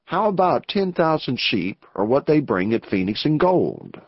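A man's voice: medium pitch, sing-song, unhurried, husky, dry recording, heavily acted. A man voicing a greedy, scheming male rancher who talks eagerly and pushes his plan in an audiobook. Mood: urgent plotting. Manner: eager, pressing. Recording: dull lo-fi audio.

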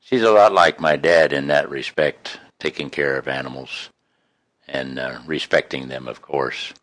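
A man reading his own poems in an expressive, folksy, homespun way, with casual introductions between them. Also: very deep voice, unhurried. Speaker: poet reading own work.

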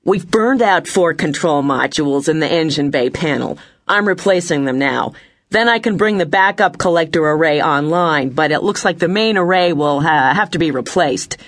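A woman's voice: deep voice, animated, moderate pace. Woman voicing an eager male ship's engineer. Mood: businesslike. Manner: eager, technical reporting.

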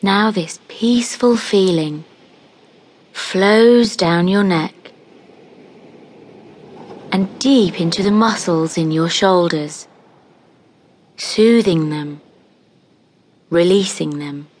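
Very slow, low-voiced woman guiding meditation. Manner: calm, soothing, unhurried with long pauses. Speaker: guided meditation narrator. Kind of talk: scripted guided meditation, hypnotic relaxation narration.

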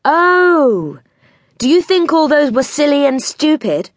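Woman as a lively, spirited country boy. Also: high voice, slow pace, no echo, studio quality, voice pushed up, exaggerated voice. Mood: surprised. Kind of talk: children's audiobook character voice.

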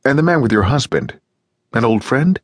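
A man voicing a guarded gentleman who keeps a forced, amused politeness in an audiobook. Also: mid-range voice, big pitch swings, normal speed, no room sound, voice pushed up. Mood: forced cheer. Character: guarded polite Englishman, forcing cheer. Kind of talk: audiobook reading.

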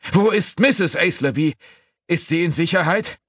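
A man voicing a distraught gentleman, a commanding and urgent father and master of the house, in an audiobook. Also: extremely low pitch, big pitch swings, takes it slowly, husky, no echo, clean studio sound, heavily acted. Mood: panicked demanding. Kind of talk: audiobook character voice.

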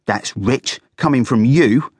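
A woman playing an eager, excitable male guinea pig with a squeaky, enthusiastic manner in a children's storybook. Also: slow pace, breathy edge, no echo, full character voice. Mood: cross, insistent.

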